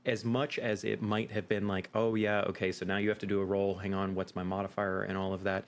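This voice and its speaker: A man's voice: on the low side, level tone, brisk, slight background noise. A man being interviewed as a game master, explaining his points in an emphatic way and often checking with "right?". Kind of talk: interview conversation.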